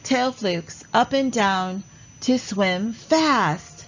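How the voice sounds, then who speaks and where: A woman narrating a read-along lesson for children in a deliberate, instructional way. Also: mid-range voice, lo-fi sound.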